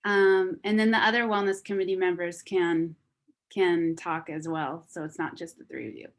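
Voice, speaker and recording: A young woman from Las Vegas, a student, hosting a relaxed online welcome session on a video call and chatting with many fillers. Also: fairly low pitch, normal speed. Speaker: wellness committee member hosting a video call. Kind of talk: casual online presentation.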